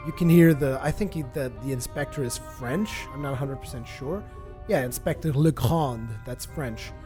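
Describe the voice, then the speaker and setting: A man casually reviewing a video game for his channel, relaxed and rambling, with lots of fillers and restarts. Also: medium pitch, normal speed, music in the background. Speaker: gaming video host.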